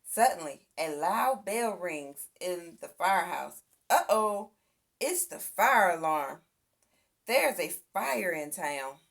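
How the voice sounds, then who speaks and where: A woman reading a children's picture book aloud as an expressive storyteller. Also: lowish voice, slow, gravelly. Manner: expressive, acts out sound effects and exclamations. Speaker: storybook reader.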